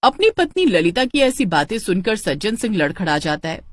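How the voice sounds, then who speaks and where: A woman's voice: mid-range voice, very animated, moderate pace, no echo. A woman storyteller reading scripted narration for a cartoon tale.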